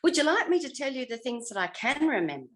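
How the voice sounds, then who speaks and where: A woman's voice: high-pitched, sing-song, fast talker, a bit bright. An older woman with an Australian accent reminiscing in a casual interview, hesitant as she searches her memory. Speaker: interviewed former actor.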